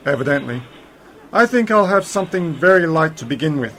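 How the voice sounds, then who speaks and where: A man's voice: on the high side, animated, fairly quick, a bit bright, a little hiss or hum. A man playing a curt, dismissive time-travelling uncle in an amateur science fiction radio play. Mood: brusque.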